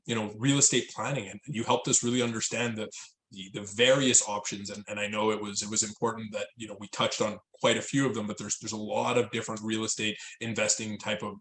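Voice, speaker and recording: A man's voice: fairly low pitch, fairly bright tone, a little hiss or hum. A man co-hosting a money podcast on a video call, a financial advisor who rambles with lots of restarts and "you know.". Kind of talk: casual chat on a call. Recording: echoey call audio.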